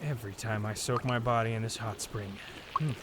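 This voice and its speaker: An actor playing a weary, good-natured young hero in training in a fantasy audio drama, easygoing and wry. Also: male voice, fairly low pitch, brisk, lightly acted. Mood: relaxed, weary. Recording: running water noise behind the voice. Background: flowing hot spring water.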